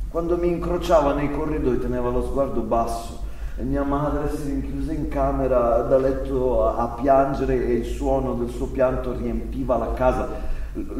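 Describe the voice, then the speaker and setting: A man acting in a staged play reading as an agitated, bitter young son of an exiled Nazi, speaking in a halting, sardonic way. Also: medium pitch, a little mellow, natural voice. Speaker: stage actor. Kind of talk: live staged reading of a play, theatrical monologue and dialogue. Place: theatre stage, recorded from a distance. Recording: very echoey stage, distant mic, faint noise.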